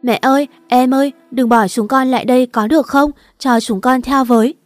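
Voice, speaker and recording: A woman's voice: mid-range voice, normal speed, a little mellow, dry recording, soft background music, close to an everyday voice. A woman voicing a young older brother, childish and blunt, in an audio story. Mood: pleading. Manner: simple childish phrasing.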